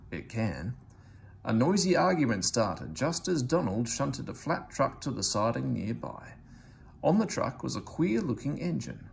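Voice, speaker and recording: Gravelly, expressive storybook reader. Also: male voice, mid-range voice, a little hiss or hum. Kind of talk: reading a children's story aloud.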